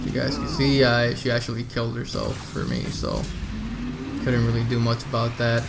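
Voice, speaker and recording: A man's voice: medium pitch, very animated, normal speed, vocal fry, heavy background noise. A man giving a casual, step-by-step video game walkthrough, talking informally and directly to his viewers. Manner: informal, addresses viewers as "you guys".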